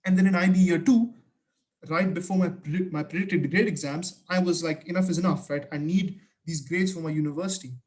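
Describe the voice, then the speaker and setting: A man's voice: sits high, fairly quick, darkish tone. A young man whose first language is Hindi, earnestly giving study advice in a casual vlog, often restarting his sentences. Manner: earnest, emphatic, frequent restarts.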